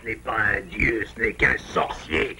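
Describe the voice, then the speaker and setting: A man playing a stubborn, scheming old grandfather wolf in a French cartoon, with a gravelly, sharp voice, evasive and blustering. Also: on the low side, lively intonation, measured pace, noisy background. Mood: accusing. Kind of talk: French cartoon dub acting.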